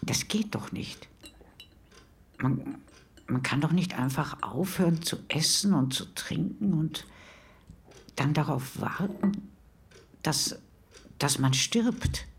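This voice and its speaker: An actress playing a flustered, defensive female neighbour in a radio play, halting and self-conscious, often half-whispering. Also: deep voice, expressive, vocal fry, heavily acted. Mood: disbelieving, shaken. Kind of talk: naturalistic radio play acting. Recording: noticeable background noise.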